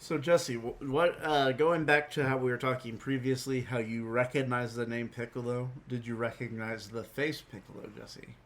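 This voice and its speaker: A man with a slightly hoarse voice, hosting a podcast and chatting casually. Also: medium pitch, fairly even intonation, normal speed, few pauses. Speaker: podcast host. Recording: noticeable background noise.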